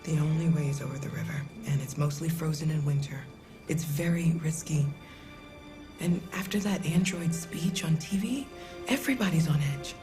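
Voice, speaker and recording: An American woman acting as a kind but guarded middle-aged mother in a video game, gentle and plain-spoken. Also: low-pitched, moderate pace, music in the background, lightly acted. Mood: worried. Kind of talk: naturalistic cinematic game acting.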